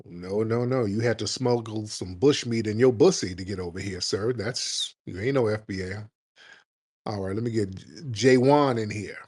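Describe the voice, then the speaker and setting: A man's voice: fairly low pitch, normal speed, darkish tone, slightly rough. Combative mocking man hosting call-ins. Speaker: host. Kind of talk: live call-in talk show.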